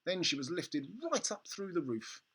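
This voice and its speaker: A storybook reader narrating a children's tale in an expressive, theatrical way. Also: mid-range voice, normal speed, background noise. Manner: animated, theatrical.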